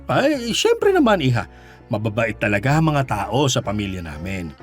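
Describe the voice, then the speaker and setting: Animated, curious Filipino dad, swooping voice. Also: male voice, medium pitch, faint music behind. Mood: proud, warm. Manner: halting, repetitive, animated teasing. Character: curious, chatty, playful father meeting son's girlfriend. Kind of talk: Filipino radio drama acting.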